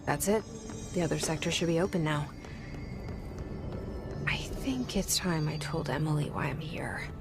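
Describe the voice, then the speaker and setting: An actress playing a guarded, haunted young woman in a video game, speaking in a measured, confiding way with hushed asides. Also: sits low, music in the background. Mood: quiet resolve. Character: young woman, guarded, haunted seeker of her kidnapped brother. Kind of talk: video game dialogue with inner monologue.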